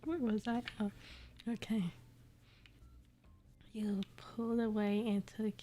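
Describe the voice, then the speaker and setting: Female streamer for casual fan fiction reading; relaxed, very unhurried, giggly, slips into breathy near-whisper. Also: fairly high pitch. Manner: giggly, self-censoring with playful substitutions.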